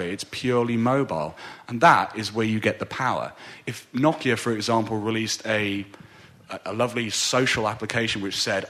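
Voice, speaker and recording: A man on a conference panel explains his point in a quick, earnest rush, restarting phrases as he goes. His voice sits on the low side. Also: fairly bright tone. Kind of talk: panel discussion.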